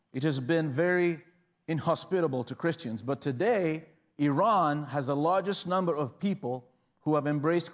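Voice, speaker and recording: A man preaching a sermon in a conversational style, often restarting phrases and rising in bursts of emphasis. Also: fairly high pitch. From Uganda. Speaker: guest preacher.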